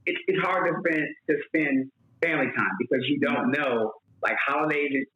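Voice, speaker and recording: A man from New York talks easily about his work as a guest on a show. His voice sits at an everyday pitch. The audio is dull and lo-fi, with a roomy echo. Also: normal speed, pushed and bright. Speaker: guest, flight attendant. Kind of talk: casual interview talk. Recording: echoey room, dull lo-fi audio.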